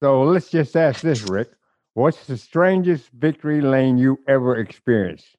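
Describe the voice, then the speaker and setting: A man's voice: medium pitch, animated, unhurried, a little mellow, no echo. A man co-hosting a podcast interview, asking questions in an easygoing way. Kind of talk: casual interview.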